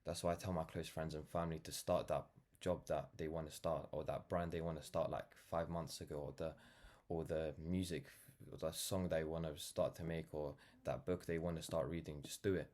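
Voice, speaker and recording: Low-pitched voice, nineteen-year-old man, London accent, casual monologue, earnest, rambling, reflective. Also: steady tone, moderate pace, few pauses, a little mellow. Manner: rambling, earnest, peppered with "like" and "you know". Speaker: vlogger, brand founder.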